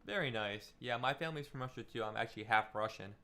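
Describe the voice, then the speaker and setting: A man's voice: medium pitch, fast, pushed and bright. A man running a casual livestream feedback session as a music production instructor, talking loosely with lots of "like" and "um" and giving encouraging advice. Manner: loose and chatty, full of "like" and "um" fillers; encouraging, gives friendly advice.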